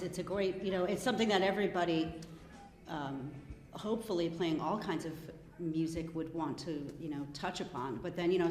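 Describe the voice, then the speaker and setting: A woman band member answering thoughtfully in a casual interview, pausing and searching for words. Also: fairly low pitch, darkish tone. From United States. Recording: echoey room with music behind.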